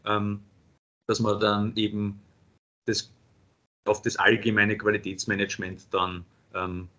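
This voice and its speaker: A paramedic lecturer with an Austrian accent presenting a webinar, pausing often with fillers. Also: male voice, sits low, sharp tone. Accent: Austrian German. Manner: halting, many filler sounds. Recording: dull lo-fi webinar audio.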